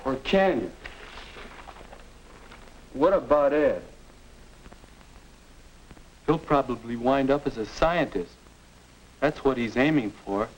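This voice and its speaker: A young man with an American accent playing a sullen, defensive high school boy with no aim, grumbling in a 1950 instructional film. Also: lively intonation, slow, long pauses. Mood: grumbling, pondering. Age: young adult. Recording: noisy, clicking 1950 film soundtrack.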